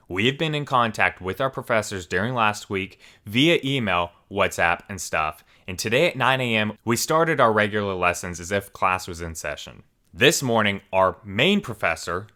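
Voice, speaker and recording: A man hosting a story channel, reading forum posts aloud in a steady, even storytelling manner. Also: fairly low pitch.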